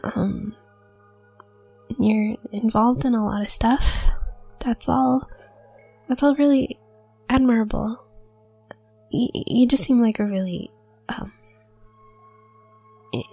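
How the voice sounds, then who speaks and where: Woman acting a shy, stammering young witch, slow and hesitant. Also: on the high side, creaky, dark tone, husky, no echo, soft background music, full character voice. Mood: admiring, flustered. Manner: nervous stutter, trailing off, hesitant. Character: shy, flustered young student witch with a secret crush. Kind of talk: intimate audio roleplay, soft-spoken acting.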